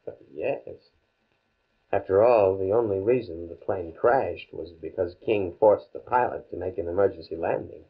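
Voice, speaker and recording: Young professor in muffled old radio drama. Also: male voice, sits low, moderate pace, breathy edge. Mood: musing. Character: young male scientist professor, shaken after a plane crash. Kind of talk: 1950s radio drama acting.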